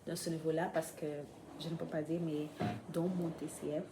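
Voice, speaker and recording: Low-voiced woman speaking french as a learner, slow, lots of ums, noise in the background. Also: flat delivery. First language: not French. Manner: hesitant, many pauses and fillers, searching for words. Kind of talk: unscripted vlog about learning French.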